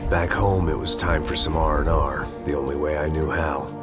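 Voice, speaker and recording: A jaded, self-destructive middle-aged hero narrates in an extremely low voice. He is deadpan and sardonic, like a hard-boiled game lead, with loud music underneath. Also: moderate pace. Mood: weary, sardonic.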